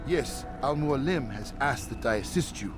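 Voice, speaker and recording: Casting: male actor for patient game assassin tutor, medium pitch, formal and instructive, clear music behind. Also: expressive, moderate pace, lightly acted. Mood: calm, instructive. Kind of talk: dramatic game cutscene acting.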